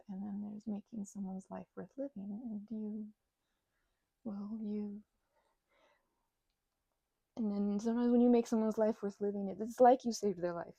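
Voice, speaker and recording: Woman doing a shy flustered vampire girl, stutters a lot, breathy and creaky, super close dry audio roleplay. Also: mid-range voice, darkish tone, no room sound. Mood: tender, awed. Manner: stammering, flustered, nervous giggles, trailing into breathy murmurs. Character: timid, grateful, nervously rambling female vampire. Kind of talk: intimate audio roleplay to the listener.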